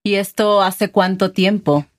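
Mujer mexicana, presentadora de podcast. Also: female voice, medium pitch, a little slow. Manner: friendly, guiding the interview with questions. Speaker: podcast co-host. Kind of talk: casual chat.

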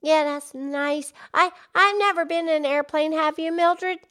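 Podcast cartoon pig, played by a woman: high-pitched, colloquial, exclaiming, eager and folksy. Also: fairly even intonation, unhurried, a bit bright. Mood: cheerful. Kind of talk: playful podcast banter.

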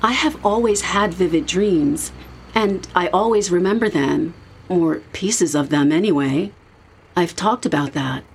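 Low-pitched, casual young woman. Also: unhurried, a little hiss or hum. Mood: uneasy, reflective. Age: young adult. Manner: casual, reflective. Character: uneasy young woman haunted by vivid dreams. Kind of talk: naturalistic audio drama acting.

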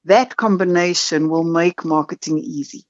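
A woman's voice: lowish voice, moderate pace, no room sound, highs cut off. A middle-aged woman with a South African accent, a podcast guest telling her story frankly with self-deprecating humor over a video call. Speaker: marketing consultant, podcast guest.

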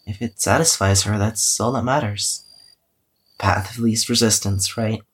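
A man's voice: fairly low pitch, steady tone, unhurried, breathy edge. An actor playing a weary, self-effacing young man who hesitantly records a wry, cynical audio diary in a drama podcast. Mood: awkward, musing. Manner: hesitant, musing, wryly cynical.

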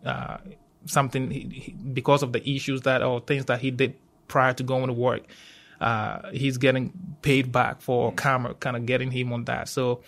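A man with a Ghanaian accent speaks conversationally, as a guest in an interview. His pitch is average and stays fairly flat, and he moves haltingly, often repeating and rewording a phrase. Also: vocal fry. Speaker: university safety professional, interview guest.

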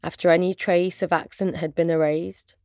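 A woman with a British accent and Arabic as her first language, reciting spoken word poetry in measured, deliberate phrases with pauses. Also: lowish voice, flat delivery, darkish tone, no room sound, clean studio sound.

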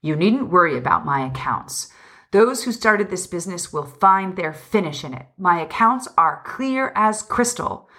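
A woman's voice: fairly low pitch, animated, measured pace, a bit bright, exaggerated voice. A woman voicing an agitated, self-pitying husband in a storybook reading, pleading and vehement. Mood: indignant.

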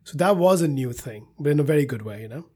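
A man's voice: medium pitch, lively intonation, fast, darkish tone, breathy edge. A man who grew up in India, a company co-founder, talking reflectively in an interview with some fillers. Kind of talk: interview conversation.

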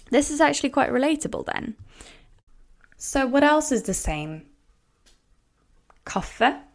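A woman whose first language is Norwegian, hosting a podcast language lesson and explaining step by step in a gentle, encouraging way. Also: medium pitch. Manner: slows down to spell out example words. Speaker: language coach and podcast host.

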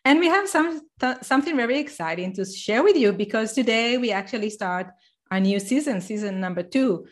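A woman's voice: on the high side, lively intonation, fairly quick. Eager woman co-hosting a podcast. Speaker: podcast co-host. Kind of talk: casual chat.

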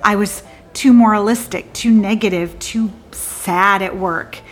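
An actress playing a disillusioned woman lawyer in a theatrical monologue, confiding in a halting, wry and bitter way with abrupt swings. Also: medium pitch, fairly even intonation, soft background music, lightly acted. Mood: weary, resigned.

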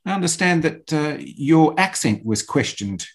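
A man hosting a radio interview, asking prepared questions in a measured, clear way. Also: fairly high pitch, expressive.

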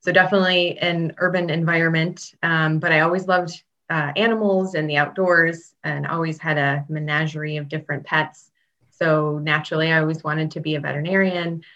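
A woman from Chicago talks as an interview guest, friendly and easygoing, with frequent ums and little laughs. Her voice sits low for a woman. The recording sounds dull and lo-fi. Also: flat delivery, fairly quick, a bit bright. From Chicago, Illinois. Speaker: guest, state public health veterinarian. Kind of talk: interview answers, casual.

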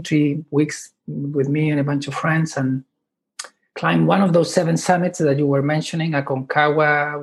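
A man in his fifties from Chile, speaking English, talking haltingly with many restarts as a podcast guest. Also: on the high side. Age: 50s. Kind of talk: podcast interview.

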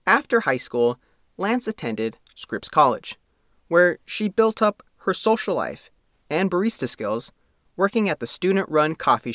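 A man narrating a scripted profile story as its reporter, reading in a measured, formal way. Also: fairly high pitch, fairly bright tone, no echo, clean studio sound.

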